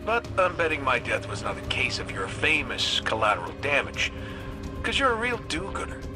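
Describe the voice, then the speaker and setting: An actor playing a cocky, wisecracking robot gunslinger in a video game, with an American accent and a wry, folksy, sardonic way of talking. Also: male voice, medium pitch, huge pitch swings, brisk, music in the background. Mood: wry, needling. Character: cocky wisecracking male robot gunslinger, roguish hunter leader. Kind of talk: video game voice acting, recorded farewell messages.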